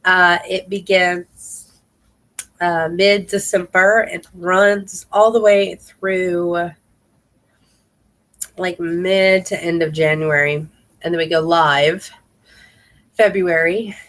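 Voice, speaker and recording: A woman's voice: on the low side, fairly even intonation, slow, pauses often, a bit bright. A woman with a slightly congested voice chatting casually and enthusiastically, rambling along as she hosts a team training video. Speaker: independent sales consultant hosting a team training video.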